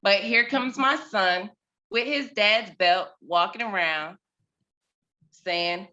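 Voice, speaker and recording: A woman in her thirties tells a personal story over a video call, taking her time with each phrase. Her voice is bright and forward, and she swings from near whispers to loud, dramatic bursts. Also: medium pitch, takes it slowly, dull audio. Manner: expressive, dramatic storytelling with big swings in volume.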